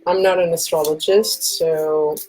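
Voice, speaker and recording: A woman from Portugal with a Portuguese accent, hosting a live card reading. She speaks gently and reassuringly and checks in often with "okay?". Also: sits low, steady tone, measured pace, faint music behind, low-quality recording. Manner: soft, reassuring, often asks "okay?" and laughs. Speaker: tarot reader and live stream host.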